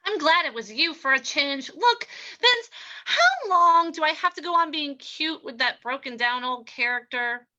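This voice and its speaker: An uneasy gangster's moll acted by a woman, high and bright, with lively swoops in her pitch. She is a reluctant accomplice, pleading and hesitant in the manner of an old radio play. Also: a little slow, highs cut off, heavily acted. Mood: complaining. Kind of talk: old-time radio drama acting.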